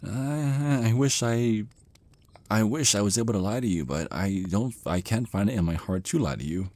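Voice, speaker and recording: A man's voice: on the low side, expressive, normal speed, a little mellow, no echo, natural voice. A man playing a shy, nervous male vampire in an ASMR roleplay, stammering and hesitating apologetically as he talks. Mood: flustered, apologetic. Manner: stammering, hesitant, flustered, rambling. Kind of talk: ASMR roleplay monologue, conversational.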